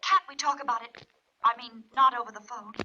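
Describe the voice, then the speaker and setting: An anxious, secretive young American client with a bright, tense voice. She pleads urgently and evasively in the style of 1940s radio drama. The recording is old and muffled. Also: fairly high pitch, fairly even intonation, a little fast.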